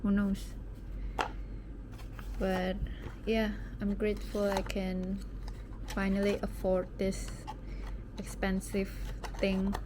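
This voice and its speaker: A young Indonesian woman speaks English with a soft British-leaning accent, and her unhurried, rather level delivery rambles along with the occasional hushed aside. She is recording at home late at night. A clear, steady hiss sits beneath her voice. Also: mid-range voice. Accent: Indonesian, with some British English. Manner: chatty, rambling, self-deprecating, sometimes drops to a whisper. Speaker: vlogger. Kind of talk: casual unboxing chat.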